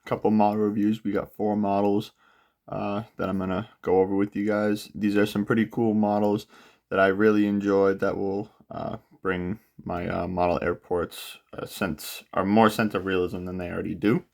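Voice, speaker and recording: A young man hosting a casual unboxing of model aircraft, sounding a bit nasal from a cold and talking in a relaxed way with frequent filler pauses. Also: on the low side, creaky. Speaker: aviation model channel host. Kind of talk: casual product unboxing walkthrough.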